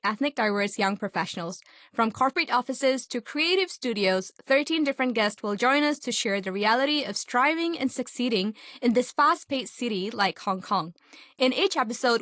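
A young woman with a fairly high voice hosts a podcast interview, upbeat and encouraging. Her voice sits close and dry, with no room around it. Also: a bit bright, no echo. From Pakistan. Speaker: host.

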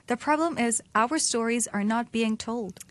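A woman's voice: fairly high pitch, unhurried, no room sound. Female co-host from Hong Kong, emphatic and punchy, dry studio sound. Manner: punchy, short emphatic phrases. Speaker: podcast co-host. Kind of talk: interview hosting.

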